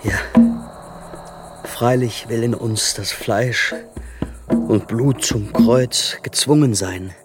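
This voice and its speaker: A man's voice: medium pitch, slow pace, pauses often, loud music behind the voice, close to an everyday voice. An actor playing a devout, pensive man who recites sacred verse in a radio drama. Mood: pensive resolve.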